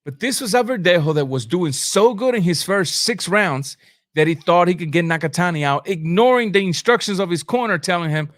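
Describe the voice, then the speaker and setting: A man with a slightly high voice commentates on boxing as a live stream host. He is blunt and informal, leaning on slang and hammering key phrases by repeating them. The recording is very dry, with no room echo. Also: lively intonation, a little fast, fairly bright tone. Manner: emphatic, repeats phrases for effect. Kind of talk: live sports commentary, answering chat comments.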